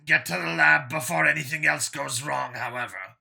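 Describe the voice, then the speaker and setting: A man voicing an irate, contemptuous dragon overlord in an audiobook, giving orders slowly and imperiously. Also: fairly high pitch, creaky, pushed and bright, breathy edge, exaggerated voice. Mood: commanding. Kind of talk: audiobook character voice.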